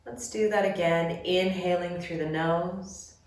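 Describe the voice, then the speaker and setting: Female yoga nidra guide, very slow and gentle, medium pitch, heard at some distance in a heavily reverberant room. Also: steady tone. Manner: gentle, measured instructions with long pauses. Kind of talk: guided relaxation meditation. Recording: very echoey room, distant mic.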